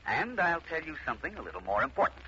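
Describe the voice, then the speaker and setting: An actor playing a self-assured, urbane amateur detective in a 1940s radio drama, precise and cultivated in manner. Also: male voice, fairly high pitch, very animated, quick pace, sharp tone. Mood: confident, brisk. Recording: muffled, noisy old radio recording.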